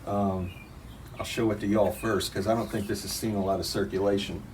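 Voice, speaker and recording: A man's voice: on the low side, flat delivery, a little fast, darkish tone. A man hosting an interview on a porch, asking questions in a rambling, friendly way with many fillers. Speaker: interviewer, channel host. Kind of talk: casual interview. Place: outdoor porch. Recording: distant mic, birds and outdoor noise.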